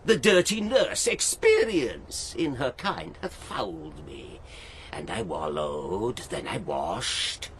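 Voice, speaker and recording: An actor playing a wild, witty, mocking court jester in an audio drama, declaiming archaic verse theatrically. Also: male voice, very animated, takes it slowly, fairly bright tone, slight background noise, exaggerated voice. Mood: wry musing. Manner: swooping between low musing and shrill cries.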